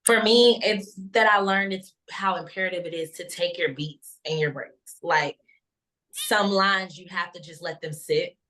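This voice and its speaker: A woman's voice: fairly low pitch, measured pace, a bit bright, low-quality recording. A woman speaking thoughtfully and casually as a newer actor in a group discussion on a video call.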